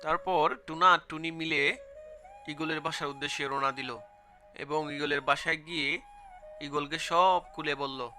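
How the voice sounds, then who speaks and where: A man narrates a scripted cartoon tale as storyteller. His voice is rather high and strikingly sharp and bright. Music runs behind the narration. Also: fairly even intonation, measured pace, long pauses.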